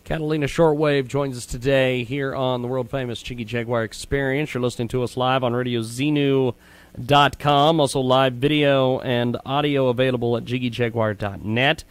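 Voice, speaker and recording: A man hosting a live radio show, introducing guests and segments with frequent pauses. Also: mid-range voice, steady tone, no echo.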